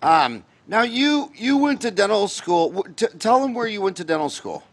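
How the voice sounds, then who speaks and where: A man's voice: sits high, sing-song, normal speed, creaky, breathy edge. A middle-aged American man hosting a casual interview in a folksy, rambling way, often restarting his questions. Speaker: podcast host, dentist.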